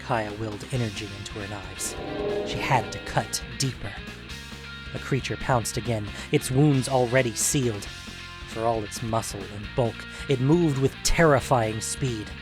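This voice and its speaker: A man narrating a scripted fantasy story in a measured, suspenseful, dramatic audiobook manner. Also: medium pitch, poor audio.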